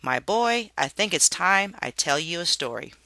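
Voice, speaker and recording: Reader playing father worm, storybook character, proud, learned, pompous, bright dry sound. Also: mid-range voice, sing-song, no room sound. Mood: stern. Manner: pompous, deliberate.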